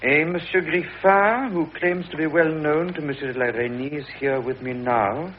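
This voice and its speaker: A man's voice: fairly high pitch, moderate pace, lightly acted. An actor playing a proud, stern king in a vintage radio drama. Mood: musing. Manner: regal, imperious. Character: proud, stern French king demanding obedience. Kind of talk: 1950s British radio drama acting. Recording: muffled vintage radio recording, noisy.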